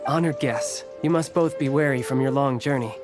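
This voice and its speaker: A refined, courteous merchant guild host, played by a man in a video game. His mid-pitched voice is calm, formal and measured, with music clearly behind it. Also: lightly acted. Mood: thoughtful.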